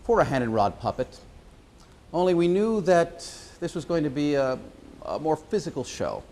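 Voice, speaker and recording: A man giving an informal explanation as a puppeteer presenting a show, pausing and hesitating as he goes. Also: medium pitch, a little mellow, slight background noise.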